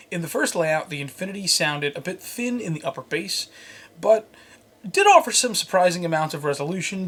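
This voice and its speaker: A man's voice: a little high, fairly bright tone. A man hosting a product review, mixing scripted lines with casual, jokey asides. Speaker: host and reviewer.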